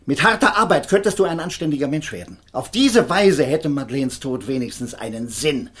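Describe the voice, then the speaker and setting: An actor playing an older, stern and bitter idealist lawyer in a radio crime drama, speaking solemnly and deliberately. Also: male voice, on the high side, lively intonation, fairly bright tone. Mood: solemn, admonishing.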